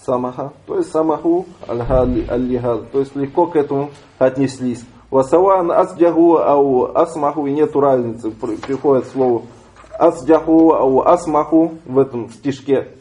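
A man giving a religious lesson, reading Arabic lines aloud and then explaining them step by step, often pausing and restarting. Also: mid-range voice, mellow, rough recording. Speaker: religious teacher. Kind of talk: lesson explaining a classical text.

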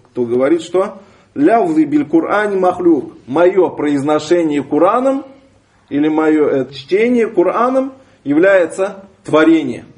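A man with a somewhat high voice teaches from a classical text. He reads each line aloud, then explains it slowly and deliberately, pausing and restarting as he works through the meaning. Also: a little mellow. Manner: reads Arabic lines, then explains them in Russian with pauses and restarts. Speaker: religious teacher.

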